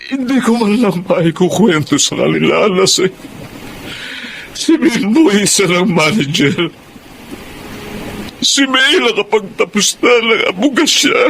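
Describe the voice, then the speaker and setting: An anguished father, swooping pitch. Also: animated, gravelly, a bit bright, slight background noise, full character voice, poor audio. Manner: halting, repeats words in anguish. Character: grieving Filipino father, loving, anguished, bitterly reproachful. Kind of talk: emotional radio drama acting.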